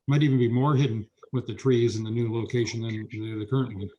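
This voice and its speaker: A man's voice: medium pitch, fairly even intonation, fast talker, mellow, dull audio. Hesitant man on a committee. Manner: hesitant, many restarts. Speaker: committee member. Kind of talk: meeting comments.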